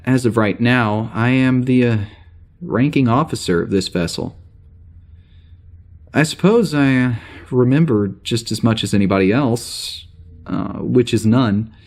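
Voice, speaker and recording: A man's voice: mid-range voice, a little mellow. A man playing a weary, wry spaceship security officer recording a log in an audio drama, rambling casually and hesitantly. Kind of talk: audio drama spoken log entry, naturalistic.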